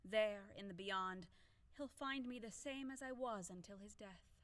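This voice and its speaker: An actress playing a proud, grieving young widow in an audio play, theatrical and lamenting. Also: female voice, medium pitch, a little slow, a bit bright, lightly acted. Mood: mournful. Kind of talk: audio play acting of a classic stage comedy.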